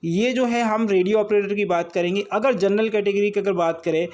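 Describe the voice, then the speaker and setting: High-pitched man teaching. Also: steady tone, a little fast, darkish tone. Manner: repetitive explaining, checking with short tags and rhetorical questions. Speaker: exam coaching teacher. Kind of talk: informal exam-prep lesson.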